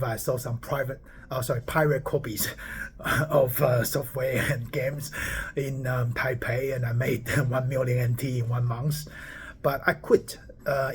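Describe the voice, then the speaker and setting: A man in his forties from Taiwan, a startup founder talking casually to camera on his phone, rambling and often stopping to ask "okay?". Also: medium pitch. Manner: rambling, repeats words and asks "okay?" often.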